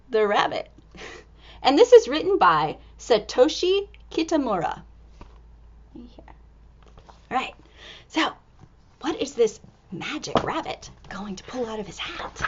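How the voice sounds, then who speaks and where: A woman reading a picture book aloud to young children in an expressive, exclaiming way, drawing out words and dropping into hushed asides. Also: fairly high pitch, slow pace, long pauses, highs cut off.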